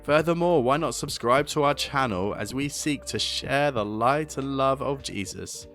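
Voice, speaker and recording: A man reads a scripted channel outro in an even, mid-pitched voice. Faint music sits behind him. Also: unhurried. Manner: reading a channel sign-off. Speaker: channel announcer.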